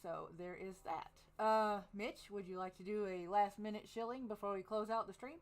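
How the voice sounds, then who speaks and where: A woman's voice: mid-range voice, fairly even intonation, moderate pace. Chatty woman hosting a stream. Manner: chatty, laughing asides. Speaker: host. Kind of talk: casual chat.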